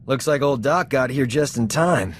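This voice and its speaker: A man's voice: mid-range voice, moderate pace, over music, natural voice. An actor voicing a cocky, vain male doctor in a video game, breezy and teasing as he lays out his demands. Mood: flippant. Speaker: ship's medic.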